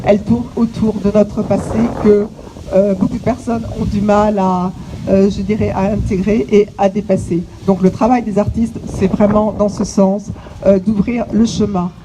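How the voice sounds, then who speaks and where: A woman from French Guiana gives an opening speech outdoors, at a middling pitch. She pauses often, fills gaps with hesitations and restarts her phrases. The recording carries clear background noise and clicks. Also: little pitch movement. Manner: halting, many hesitations and restarts. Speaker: exhibition curator. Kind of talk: inaugural speech to visitors. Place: outdoors on an island site.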